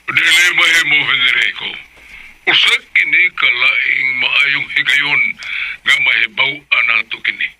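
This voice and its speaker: A man's voice: medium pitch, fairly even intonation, measured pace, sharp tone, low-quality recording. A man playing a determined, upright father in a Filipino radio drama, with a Cebuano accent. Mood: firm, insistent.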